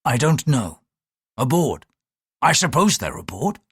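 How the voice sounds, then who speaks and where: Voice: mid-range voice, sing-song, measured pace, a bit bright, husky, no room sound, studio quality, exaggerated voice. An audiobook reader voicing a bold, taunting ship's pilot who talks bluntly and defiantly. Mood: wary, insistent.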